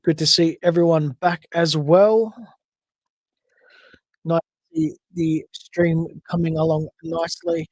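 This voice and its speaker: A man's voice: a little high, steady tone, takes it slowly, long pauses, darkish tone, dry recording. An Australian man hosting a solo podcast livestream, chatting casually and rambling with frequent self-corrections. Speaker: podcast host.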